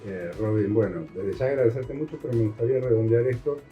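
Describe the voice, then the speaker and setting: A man's voice: mid-range voice, fast talker, reverberant, muffled recording, faint music behind. A man with an Argentine accent hosting an interview, asking questions in a friendly, informal way. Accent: Rioplatense Argentine Spanish. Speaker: host interviewer.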